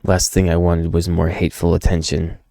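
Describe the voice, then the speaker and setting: A gruff, haunted loner tells a grim story in the first person, read by a man. The voice is deep and dark with a creaky edge, brooding and world-weary. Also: completely flat delivery, moderate pace, dry recording, clean studio sound. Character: gruff, haunted loner brawler narrating his grim past. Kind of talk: dramatic first-person comic narration.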